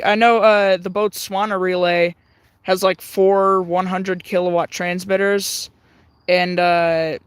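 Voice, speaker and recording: Casual livestream host: young man, high voice, smooth, halting and full of fillers. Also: no room sound. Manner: hesitant, many "uh" fillers, thinks aloud. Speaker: livestream host tuning shortwave radio.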